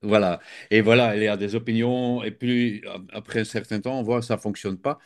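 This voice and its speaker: A man's voice: on the low side, fairly even intonation, a little fast. A man with a Flemish accent, a native Dutch speaker, explaining his field as an interview guest while often searching for words. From Belgium. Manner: hesitant, searching for words, many fillers. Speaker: guest expert.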